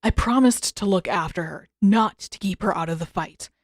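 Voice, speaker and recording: A woman's voice: medium pitch, normal speed, no echo, clean studio sound, close to an everyday voice. A woman playing a determined teenage prince in a storybook, speaking in an earnest, matter-of-fact way. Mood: firm.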